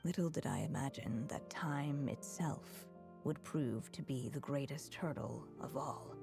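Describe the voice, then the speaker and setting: A woman voicing a solemn alchemist who speaks in a measured, formal way. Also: very deep voice, creaky, music in the background, exaggerated voice. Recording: game score loud behind.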